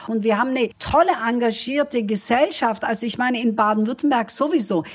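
A woman's voice: a little high, fairly quick, slightly rough. A middle-aged woman from Turkey, a native Kurdish speaker, answering interview questions about her home city in an earnest, enthusiastic way. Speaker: politician guest.

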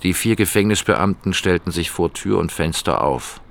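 A man's voice: low-pitched, animated, normal speed, vocal fry, a little hiss or hum. A man narrating an audio drama from a script in a matter-of-fact way.

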